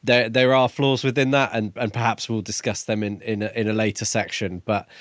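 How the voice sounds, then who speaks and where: A man co-hosting a podcast, talking casually about books in halting, thoughtful short phrases. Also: lowish voice, steady tone, no room sound, studio quality. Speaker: podcast co-host. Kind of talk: casual book discussion.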